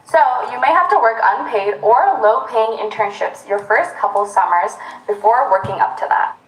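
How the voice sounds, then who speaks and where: A woman's voice: a little high, lively intonation, normal speed. A young woman presenting a scripted explainer, upbeat and speaking directly to the viewer. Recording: roomy echo.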